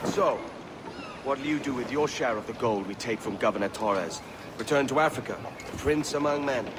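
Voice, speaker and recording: An actor playing a cocky, determined pirate captain in a video game, with a wry, confident, casual manner. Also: male voice, medium pitch, normal speed, noisy background, lightly acted. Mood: curious. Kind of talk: video game cutscene acting.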